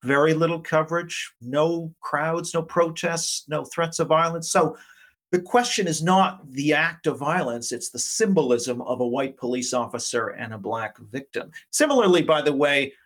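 Higher-voiced man, analytical academic guest. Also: normal speed, fairly bright tone. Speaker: academic guest, politics professor. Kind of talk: analytical interview discussion.

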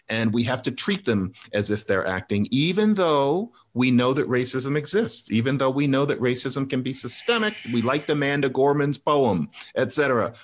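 A middle-aged man talking through ideas and telling a personal story in a conversational discussion, voice rising sharply when he makes a point. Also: medium pitch. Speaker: commentator.

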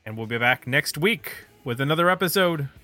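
A man's voice: fairly high pitch, animated, brisk, bright, forceful tone. A man hosting a music podcast, chatting casually as he reviews albums.

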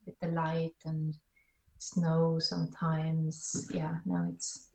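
A woman's voice: low voice, completely flat delivery, slow, warm tone, reverberant, poor audio. A woman with a Swiss accent speaking as an interview guest, thoughtful and a bit hesitant, often restarting her sentences. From Switzerland. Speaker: podcast guest. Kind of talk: interview conversation.

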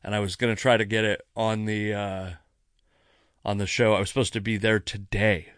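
A man's voice: fairly low pitch, flat delivery, normal speed, fairly bright tone, smooth, no echo, clean studio sound. An American man, a comedian and podcast host, rambling casually and self-deprecatingly with some swearing, a little tipsy. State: mildly tipsy after a few drinks. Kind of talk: casual solo podcast monologue.

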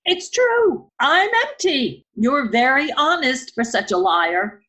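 A woman's voice: sits high, very animated, takes it slowly, bright, forceful tone, full character voice. An actress playing a prickly, defensive, self-deprecating woman in a romantic comedy audio play, with tart, pedantic retorts. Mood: indignant, wounded.

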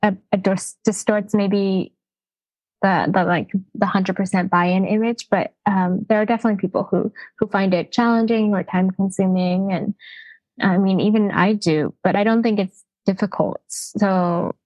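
A woman's voice: medium pitch, fairly even intonation, moderate pace, a little mellow, no echo, lo-fi sound. A woman from Canada, a guest in a casual interview, speaking thoughtfully with many hesitations and fillers. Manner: thoughtful, hedging, frequent "um" and "like".

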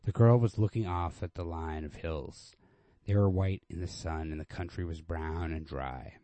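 A man's voice: deep voice, normal speed, warm tone, no echo. A man hosting casually and reading a story aloud in an informal, unrehearsed, self-deprecating way.

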